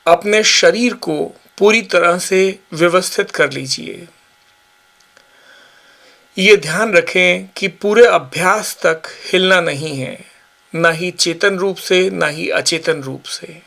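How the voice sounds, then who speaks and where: A man guiding a yoga nidra relaxation session with slow, calm, measured instructions. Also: high voice, vocal fry. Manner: slow, calm, measured guidance with long pauses. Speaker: yoga teacher.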